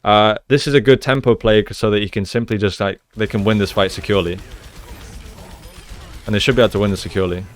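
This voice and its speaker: A man's voice: lowish voice, fairly even intonation, fast talker. A man giving conversational, explanatory commentary on video game play, as a coach breaking down each move. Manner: explanatory, conversational, often checks with "right?". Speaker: gaming coach, analyst.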